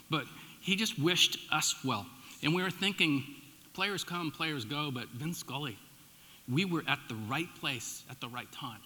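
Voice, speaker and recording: A middle-aged American man speaks as a pastor in a big, reverberant hall. His voice is very bright and forceful, at an average male pitch. He tells stories informally, punching some phrases and letting asides drop away. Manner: informal, animated storytelling with emphatic swings and dropped asides. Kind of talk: conversational sermon with personal storytelling. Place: large church room, addressing a congregation. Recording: echoey hall with long reverb.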